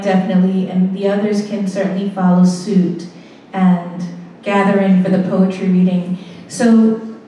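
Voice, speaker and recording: A woman's voice: medium pitch, mellow. A woman leads a poetry workshop, calling on students and urging them to share. Her voice comes from a distance, with heavy room echo and faint noise. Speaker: workshop leader. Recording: echoey hall, distant mic, some noise.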